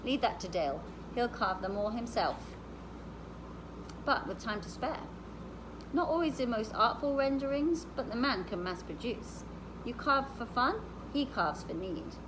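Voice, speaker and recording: A woman reading the part of a calm, practical, knowledgeable woman explaining things in a storybook. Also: fairly high pitch, measured pace, noisy background.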